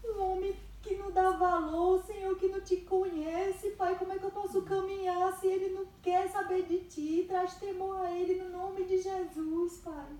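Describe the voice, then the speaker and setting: A woman's voice: very high voice, little pitch movement, normal speed, few pauses, dark tone. A young woman acting as a weary wife who prays for her husband, exasperated and tearful in a church skit. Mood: tearful prayer. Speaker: amateur actor in a church skit. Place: wood-panelled hall, indoors. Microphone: far camera. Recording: echoey hall.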